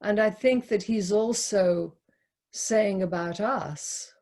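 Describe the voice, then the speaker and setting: A woman's voice: mid-range voice, slow, dark tone. A woman leading a reflective discussion with an audience, speaking hesitantly and repeating words and phrases for emphasis.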